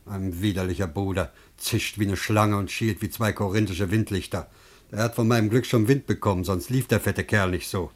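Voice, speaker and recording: An actor playing a crafty, excitable, boastful citizen of ancient Greece, declaiming theatrically in a classic German radio comedy. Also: male voice, lowish voice, moderate pace, natural voice. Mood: effusive, sly. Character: crafty, excitable Greek citizen, gleeful new-rich braggart.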